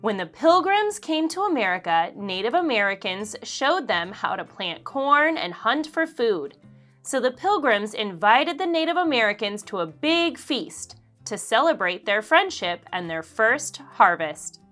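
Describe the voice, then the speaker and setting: A woman reading a children's story aloud in an expressive, deliberate storytelling manner. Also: on the high side, faint music behind. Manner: expressive, deliberate, acts out dialogue. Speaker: children's book narrator. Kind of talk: read-aloud storytelling for kids.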